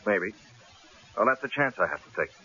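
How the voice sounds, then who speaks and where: An actor playing a stubborn, self-assured newspaper columnist in an old-time radio drama, wry and punchy. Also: male voice, fairly low pitch, big pitch swings, normal speed, bright, forceful tone. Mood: cocky, wry. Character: cocky, stubborn male newspaper columnist, breezy and unflappable. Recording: hissy, dull old radio broadcast recording.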